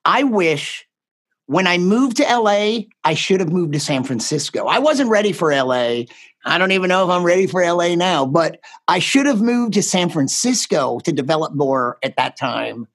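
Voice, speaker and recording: Texas-raised male comic, animated pitch, jokey and easy laughter, casual guest. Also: normal speed. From Texas, USA. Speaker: guest comedian. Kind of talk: casual interview chat.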